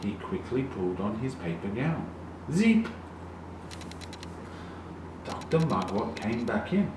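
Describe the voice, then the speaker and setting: Echoey, animated man reading a children's story. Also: on the low side. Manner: deliberate, animated storytelling with emphasized sound words. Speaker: storybook reader. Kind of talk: expressive children's read-along narration. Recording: very echoey, distant, noisy room.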